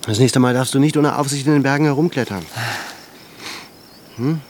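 An actor in a radio drama playing a pensive, gently strict scholarly father in his thirties, with a gravelly voice. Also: mid-range voice, slight background noise, close to an everyday voice. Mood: fatherly, probing. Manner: slow, halting, introspective.

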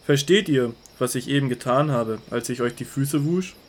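An actor playing a calm, authoritative male teacher in a radio drama, speaking solemnly and earnestly. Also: medium pitch, normal speed, a little hiss or hum, natural voice.

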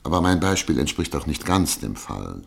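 A man's voice: a bass voice, very animated, moderate pace, gravelly, heavily acted. Stern, rhetorically sweeping male prosecutor. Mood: assured, insistent. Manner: rhetorical, emphatic, formal legal argument. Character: male public prosecutor, eloquent, unyielding, stern and argumentative. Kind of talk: German radio play acting.

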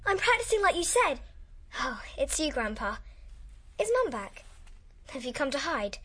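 An actress playing an earnest, matter-of-fact young granddaughter in a radio comedy drama, with a husky edge. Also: female voice, high-pitched, very animated, moderate pace, a bit bright. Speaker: actor.

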